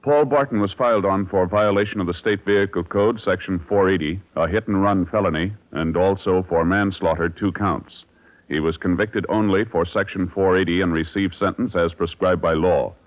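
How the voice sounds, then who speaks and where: An American man announcing in a solemn, measured, official 1950s radio style from a script. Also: sits low. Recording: muffled, narrow-band vintage radio recording.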